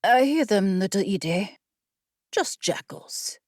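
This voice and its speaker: A woman's voice: medium pitch, animated, slow pace, darkish tone, dry recording. A woman voicing a stern, scowling female desert guide for an audiobook, blunt, dry and condescending. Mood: calm, dismissive. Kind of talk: audiobook character voice.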